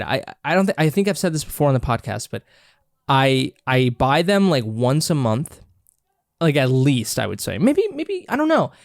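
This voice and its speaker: A man's voice: mid-range voice, no room sound, clean studio sound. A congested man hosting a podcast, chatting casually and rambling with many restarts while he snacks. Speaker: podcast host. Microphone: own camera and mic, close and dry.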